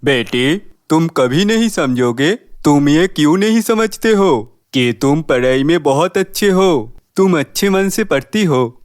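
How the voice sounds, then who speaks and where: Weary working-class dad, acted by a man, cartoon story, smooth slow voice, admonishing. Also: on the high side, no room sound, clean studio sound. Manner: admonishing, exasperated. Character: weary poor labourer father, discouraging, protective. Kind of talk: cartoon fairy tale voice acting.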